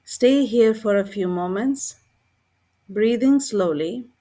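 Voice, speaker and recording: A woman leads a guided meditation with a calm, gentle delivery. She reads in short, measured phrases and leaves unhurried pauses between them. Her voice sits at an average pitch for a woman. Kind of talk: scripted guided meditation narration.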